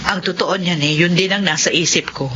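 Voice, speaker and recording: A woman's voice: fairly low pitch, fairly even intonation, a little fast, lo-fi sound, background noise, close to an everyday voice. An actress playing an earnest, repentant mother in a Filipino radio drama. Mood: pleased, hopeful. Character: repentant mother returning to the children she abandoned. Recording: radio drama over music.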